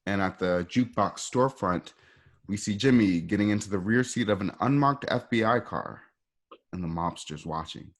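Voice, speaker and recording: A man serves as narrator for a scripted drama. His voice sits somewhat low as he reads out stage directions and sets each scene. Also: expressive, moderate pace.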